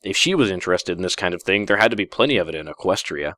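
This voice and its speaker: A man's voice: deep voice, expressive, rapid-fire, sharp tone, no echo, clean studio sound. A man narrating a first-person story in a wry, theatrical way. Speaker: fan fiction reader.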